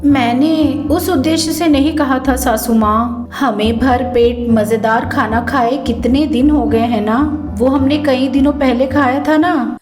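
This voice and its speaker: Comic story role: a woman as food-obsessed young daughter-in-law, high, whiny, cheeky, quick to argue. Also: reverberant, over music.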